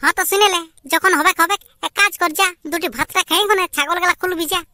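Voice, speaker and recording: A woman's voice: very high voice, quick pace, pushed and bright. A woman voicing an exasperated village mother in a cartoon comedy, nagging her grown sons in a Purulia Bengali accent. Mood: dismissive. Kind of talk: amateur cartoon comedy dialogue.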